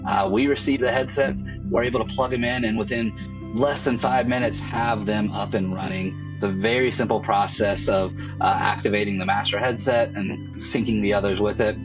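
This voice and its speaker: A man's voice: on the low side, steady tone, moderate pace, dull audio. A man in his thirties giving an explanatory testimonial into a close mic at home. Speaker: church creative director, interviewee. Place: bedroom at home. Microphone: close dynamic mic on boom arm. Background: background music.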